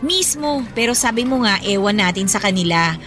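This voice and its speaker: A woman playing a caring, supportive young wife in a radio drama, speaking gently and conversationally. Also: mid-range voice, expressive, moderate pace, soft background music, natural voice. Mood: affectionate, teasing. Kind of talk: Tagalog radio drama dialogue.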